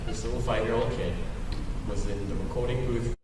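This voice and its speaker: A man's voice: mid-range voice, brisk. British man rambling jokily in an echoey hall. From England. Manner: animated, rambling, jokey. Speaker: creator on stage answering audience questions. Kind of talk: casual comedic Q&A chat. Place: large convention hall at a live panel. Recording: echoey hall, distant mic, loud room noise.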